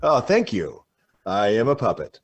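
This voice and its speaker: A man's voice: on the low side, huge pitch swings, moderate pace, slight background noise. A man playing an uneasy, existential news anchor on a mock game radio report, stilted and theatrical. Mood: dazed.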